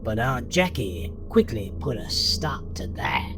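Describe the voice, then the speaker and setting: A man narrating a scripted horror story in a measured, dramatic storytelling manner. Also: medium pitch, wildly swooping pitch. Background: music.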